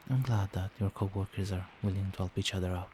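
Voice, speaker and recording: A man playing a doting, protective vampire boyfriend in a soft-spoken, soothing and attentive ASMR roleplay. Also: sits low, fairly even intonation, fast talker, a little hiss or hum, natural voice. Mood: tender, concerned. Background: rain.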